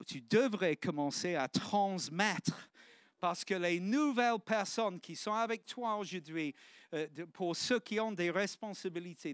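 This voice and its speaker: A man's voice: high-pitched, a bit bright, clear, resonant tone, no echo, studio quality. A man, preaching a sermon in church with earnest, exclamatory restarts. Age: 50s.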